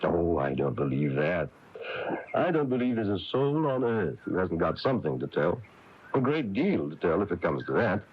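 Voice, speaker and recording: An actor playing a kindly, chatty small-town minister in a radio drama, coaxing and avuncular, with hesitant phrasing. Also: male voice, deep voice, big pitch swings, normal speed, breathy edge, heavily acted. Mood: friendly, coaxing. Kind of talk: 1980s radio drama acting. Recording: dull, noisy AM radio recording.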